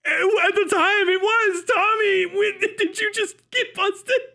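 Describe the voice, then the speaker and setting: A man with a very high, bright voice gives casual live commentary. He is mocking and sarcastic, swears freely and gets giggly and excitable as he reacts. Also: slow pace. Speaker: livestream host.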